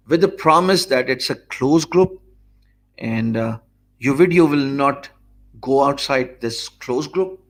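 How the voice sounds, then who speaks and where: A Pakistani man, English not his first language, giving an earnest video lesson from a small home office with many hesitant fillers. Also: on the high side. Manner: earnest and measured, many "uh" fillers and restarts, gestures with his hands. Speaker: online business coach. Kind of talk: talking-head video lesson. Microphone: stand mic close in front, webcam framing.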